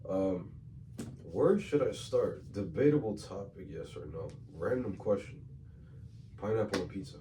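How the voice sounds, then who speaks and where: Hoarse deep-voiced New podcast host. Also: male voice, animated, a little slow. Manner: loose, slangy, repeats himself. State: hoarse from a cold. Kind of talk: casual chat. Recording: distant mic with faint room noise.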